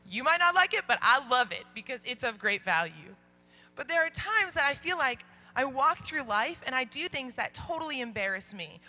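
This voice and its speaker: Young woman preacher telling stories to kids, super loud voice, high and bright, does all the voices. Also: moderate pace. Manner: animated, acts out quoted voices. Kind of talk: storytelling youth talk.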